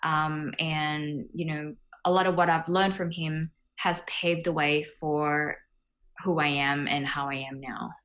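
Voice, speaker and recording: A woman's voice: sits low, steady tone, measured pace, fairly bright tone. A young woman from Thailand, a business founder, answering interview questions casually with many fillers over a video call.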